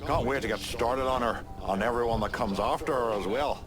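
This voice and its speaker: A male actor as a rough, opportunistic Irish gangster in a game. The voice sits at a middle pitch with an Irish accent, over clear music. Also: brisk, close to an everyday voice. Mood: eager. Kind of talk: video game cutscene acting.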